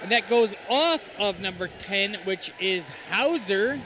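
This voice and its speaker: A man's voice: high voice, lively intonation, measured pace, noisy background. A man doing color commentary on a hockey game, chatty and joking in his asides.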